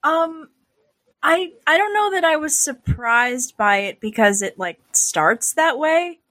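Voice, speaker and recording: A woman's voice: high-pitched, lively intonation, slow, bright, forceful tone, no echo. A woman speaking as a podcast guest in casual chat, informal and a little hesitant.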